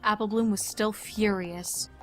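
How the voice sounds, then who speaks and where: A woman's voice: medium pitch, steady tone, unhurried, loud music behind the voice. A woman reading scripted story narration as the narrator of a tale.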